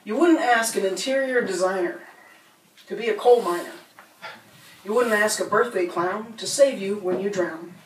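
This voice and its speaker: A woman's voice: medium pitch, normal speed, slight background noise. Woman reading poems in a roomy space. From United States. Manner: rhythmic, rhyming delivery with small laughs between poems. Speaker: poet. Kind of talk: spoken word poetry reading. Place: bookstore reading room. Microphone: standing mic, filmed from a distance. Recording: roomy sound from a distant camera.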